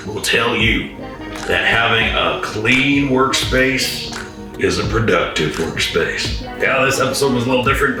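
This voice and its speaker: A man's voice: medium pitch, echoey room, distant mic, low-quality recording. A young man giving casual, joking DIY commentary as host, in a wry, self-deprecating way. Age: young adult. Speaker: host of a DIY video series.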